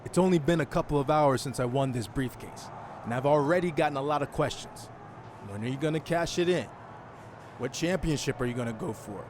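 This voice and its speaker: An actor playing a cocky, determined young pro wrestler in a video game cutscene, speaking with confident challenge. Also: male voice, medium pitch, brisk, noisy background, close to an everyday voice. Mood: confident, challenging.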